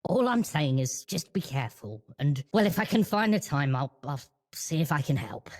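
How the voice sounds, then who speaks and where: A wary magical mentor played by a woman in a tabletop roleplay, speaking in a fairly low register. The manner is brisk and scolding, always urging caution. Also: very animated, a little mellow. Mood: stern advice. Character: wary adult woman teacher, secretly a magical king. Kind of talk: improvised tabletop roleplay in character.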